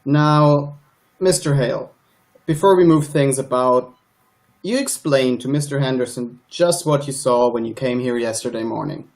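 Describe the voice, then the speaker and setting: A man's voice: medium pitch, normal speed, a little mellow, lightly acted. A man playing a busy, defensive small-town sheriff in a radio play, talking conversationally and justifying himself. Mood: businesslike, defensive.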